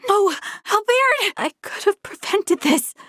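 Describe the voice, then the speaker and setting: A woman voicing an earnest, determined young female soldier in a video game, with spirited, crisp military delivery. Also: very high voice, animated, slow pace, a bit bright, dry recording, studio quality, full character voice. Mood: panicked, anguished. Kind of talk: video game battle voice lines.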